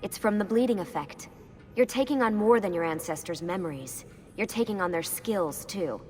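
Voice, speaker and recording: A woman's voice: medium pitch, animated, normal speed, natural voice. Actress as a composed woman, music behind. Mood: reassuring. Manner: composed, reassuring. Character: calm, protective young woman, undercover assassin agent. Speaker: actor voicing a game character. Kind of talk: naturalistic video game cutscene acting. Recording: game dialogue with reverb under music.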